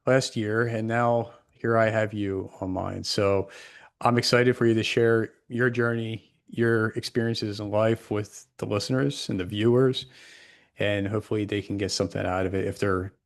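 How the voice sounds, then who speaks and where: A man's voice: fairly low pitch, fairly even intonation, normal speed, creaky. A man hosting a podcast, talking loosely with lots of fillers and restarts. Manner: loose and rambling, many fillers. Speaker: podcast host. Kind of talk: casual podcast interview.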